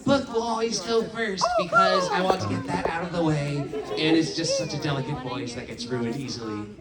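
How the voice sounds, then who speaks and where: A woman's voice: low voice, very animated, normal speed. A woman on a voice-acting panel talking lightly about her characters. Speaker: voice actor on a panel. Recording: roomy, distant, loud crowd and noise.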